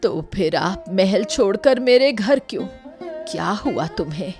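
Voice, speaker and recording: A woman acts a humble old village woman in a cartoon tale. She speaks slowly and gently, with motherly care, and music plays behind her. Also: mid-range voice, expressive. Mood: surprised. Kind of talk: Hindi cartoon moral story dialogue.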